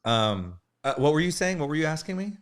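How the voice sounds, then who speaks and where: A man hosting an online art event with a slightly hoarse voice from the flu, chatting casually and rambling, with lots of fillers and jokes at his own expense. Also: a little high, very animated, fairly quick. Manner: rambling, filler-heavy and self-joking. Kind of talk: casual livestream chat. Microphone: streaming mic.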